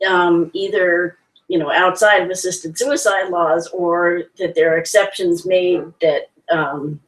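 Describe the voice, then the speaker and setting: A woman's voice: lowish voice, recorded from a distance, low-quality recording. A woman hosting a discussion, often agreeing with her co-host and then explaining at length. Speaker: host, director of the project. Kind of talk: casual panel discussion.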